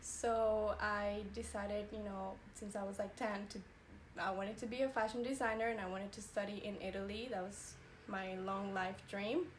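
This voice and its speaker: A woman's voice: mid-range voice, fairly even intonation, moderate pace, a little hiss or hum, poor audio. A woman in her twenties from Colombia, answering interview questions casually, giggling and filling her talk with "like" and "you know". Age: 20s. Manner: chatty, giggly, many fillers like "like" and "you know". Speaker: fashion design graduate.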